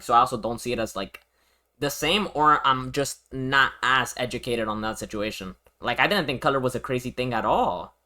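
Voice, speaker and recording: A man's voice: medium pitch, bright, forceful tone. A young man from Venezuela reacting on a livestream in casual, slangy, repetitive talk with plenty of swearing. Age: young adult. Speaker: streamer reacting to a video.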